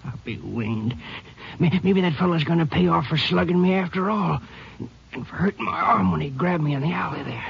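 A man's voice: fairly high pitch, animated, brisk, a little hiss or hum. An actor playing a dazed, rustic night watchman who stammers and drawls in a 1950s radio drama. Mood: flustered. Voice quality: gravelly, raspy drawl with ungrammatical country speech. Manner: stammers and repeats words when flustered. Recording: dull lo-fi vintage radio recording.

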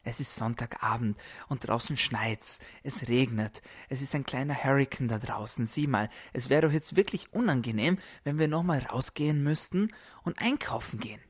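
A man's voice: medium pitch, expressive, moderate pace, slightly rough. A man voicing a coaxing inner tempter that makes lazy excuses, whispery at times, with music underneath. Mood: coaxing, dismissive.

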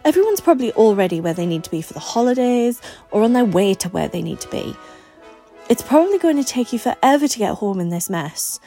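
Woman reading as a blunt, mellow-voiced female co-worker. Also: fairly high pitch, very animated, a little fast, soft background music, voice pushed up. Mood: coaxing. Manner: emphatic, frank. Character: blunt, teasing, bossy female co-worker in a story.

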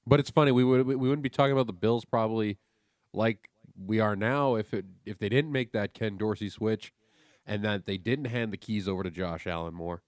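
Low-voiced wry sports radio panelist man. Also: fairly quick, no echo, clean studio sound. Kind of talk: casual sports radio banter.

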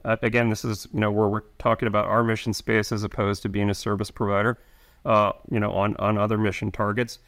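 A middle-aged man, an intelligence officer answering interview questions, speaking haltingly with many restarts and fillers. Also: on the low side, level tone, a bit bright.

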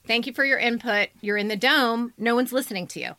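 An American woman with a bright, forceful voice on the higher side, recorded very dry. She gives blunt, teasing critique off the cuff, bossing people around like a tough editor. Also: brisk, dry recording. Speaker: podcast co-host and editor. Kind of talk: unscripted critique and banter.

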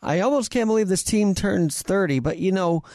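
High-voiced young American man hosting. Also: lively intonation, normal speed, darkish tone, no room sound, studio quality. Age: 20s. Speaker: sports interview host. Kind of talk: casual interview questioning.

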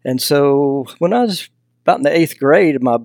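A man's voice: a little high, animated, normal speed, vocal fry, a little mellow, no room sound, clean studio sound. An older man from Texas with a Southern accent, telling stories in a casual, folksy way as an interview guest. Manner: folksy, anecdotal. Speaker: podcast guest, hunting mentor and foundation founder.

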